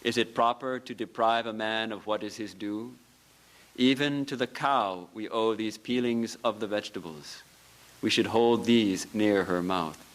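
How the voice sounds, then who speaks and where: A man with a fairly low voice gives a temple lecture as a monk. He tells small stories with soft laughter and builds his points through earnest repetition. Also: fairly even intonation, measured pace, low-quality recording. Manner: storytelling, with soft laughs and earnest repeated phrases. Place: temple hall.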